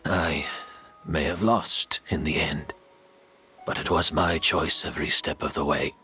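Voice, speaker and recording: An actor playing a cold, defiant master perfumer who refuses to repent, speaking slowly and sardonically. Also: male voice, a bass voice, steady tone, pushed and bright, faint music behind, heavily acted. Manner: slow, sardonic, unrepentant.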